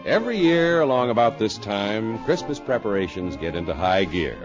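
A man's voice: fairly low pitch, very animated, normal speed. A man announcing a 1950s radio show and reading sponsor copy in a polished, emphatic way. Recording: dull old radio recording. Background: music.

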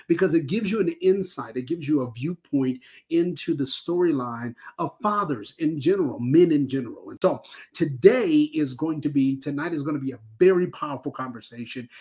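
A man's voice: mid-range voice, a little mellow, breathy edge. A man hosting a podcast, speaking in an earnest, emphatic way with repeated phrasing. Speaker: podcast host. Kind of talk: podcast intro and conversation.

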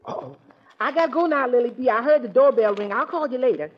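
Woman playing swooping, high-voiced sassy housekeeper. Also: animated, quick pace, natural voice. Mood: chatty, playful. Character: sassy, chatty housekeeper, outwardly deferential. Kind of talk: 1940s radio sitcom acting. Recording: muffled, noisy 1940s radio recording.

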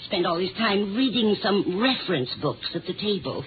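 Kindly little old librarian lady, played by an actress, genteel and fretful, animated pitch, noisy vintage radio sound. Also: normal speed, narrow, tinny audio, lightly acted. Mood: shaken. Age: older. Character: kindly little gray-haired librarian, older woman, chatty, fretful. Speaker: radio drama actor. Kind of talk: 1950s radio acting.

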